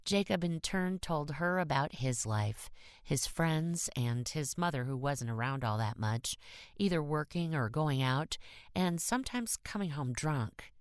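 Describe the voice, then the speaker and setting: A woman's voice: low voice, expressive, measured pace, clean tone, no room sound, clean studio sound. A woman reading a short story as an audiobook narrator in a scripted style.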